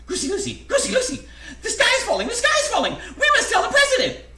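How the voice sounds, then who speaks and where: A panicky, dim-witted hen from a comic storybook, acted out by a man. The voice is a shrill, very high squawk, frantic and repetitive, with a hard bright edge. It sits in an echoey room. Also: sing-song, fast, vocal fry, heavily acted. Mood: frantic, shrieking. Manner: frantic, exclaiming and repeating. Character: panicky, dim female chicken, shrieking. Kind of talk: comic storybook read aloud. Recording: echoey room, faint background noise.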